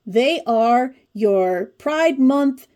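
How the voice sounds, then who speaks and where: A woman's voice: sits high, animated, very slow, warm tone. A woman in her forties hosting a craft video, talking casually through a flip-through with chatty, wry asides. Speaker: craft channel host. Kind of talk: casual commentary on a pattern book flip-through.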